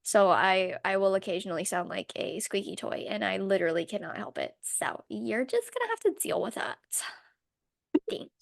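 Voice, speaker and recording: A woman's voice: medium pitch, big pitch swings, normal speed, creaky, no echo, studio quality. A woman co-hosting a casual podcast chat, sick with a voice that cuts in and out, blunt and swearing freely. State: sick, voice going in and out. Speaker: podcast co-host.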